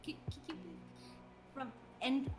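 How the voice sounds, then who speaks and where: A giggly, excitable woman doing a playful read-aloud among friends. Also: slow pace, soft background music.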